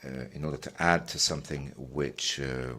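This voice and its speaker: A man working as a simultaneous interpreter, relaying a panel talk in a measured way, pausing with fillers as he keeps up. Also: extremely low pitch, fairly even intonation, pushed and bright. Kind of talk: live conference interpretation.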